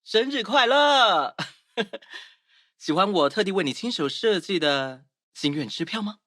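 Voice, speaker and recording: Doting, teasing young sweetheart, lively pitch. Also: male voice, measured pace, no echo, clean studio sound, exaggerated voice. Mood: cheerful, doting. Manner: tender, playful, intimate. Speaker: game voice actor. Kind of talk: romance game voice acting.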